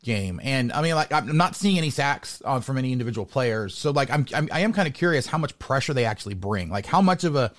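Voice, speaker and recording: A man's voice: mid-range voice, expressive, fast, few pauses. An American man hosting a casual sports interview, rambling and repeating words as he thinks aloud. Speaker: podcast host interviewing a sports guest.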